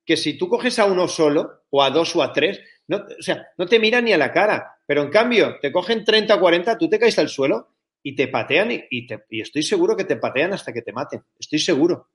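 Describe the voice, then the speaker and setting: A man with a Barcelona Spanish accent and a slightly high-pitched voice gives his opinions in an interview. He speaks casually and bluntly, in colloquial language that slips into swearing. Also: expressive, a little fast. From Barcelona, Spain. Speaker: interview guest.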